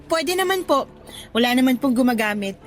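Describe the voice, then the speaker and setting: A woman playing a kind, respectful young college student in a radio drama, speaking politely and gently. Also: sits high, animated, brisk. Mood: kind, eager. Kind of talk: Tagalog radio drama acting.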